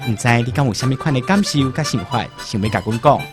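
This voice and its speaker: A man with a Taiwanese accent reads a scripted radio announcement. His mid-pitched voice moves up and down in a lively way. Harmonica and string music plays clearly behind him. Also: fast. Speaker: radio drama voice actor.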